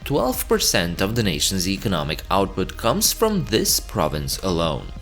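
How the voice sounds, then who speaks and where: Man, low voice, animated intonation, scripted educational narration, casual asides to viewer. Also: normal speed, faint music behind. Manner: chatty asides to the viewer between facts. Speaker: channel host.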